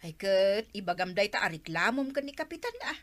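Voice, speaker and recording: Woman as a bright-voiced, argumentative Ilocano villager. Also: medium pitch, big pitch swings, brisk. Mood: insistent. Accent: Filipino, Ilocano. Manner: questioning, argumentative. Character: village woman bickering with a complaining neighbour. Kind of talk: amateur comedy skit acting.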